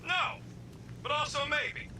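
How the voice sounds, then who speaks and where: An actor playing a small, irritable raccoon-like creature in a video game, gruff, raspy and sarcastic with slangy, snappy delivery. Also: male voice, extremely high pitch, slow pace, background noise. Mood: irritated. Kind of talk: video game cutscene acting.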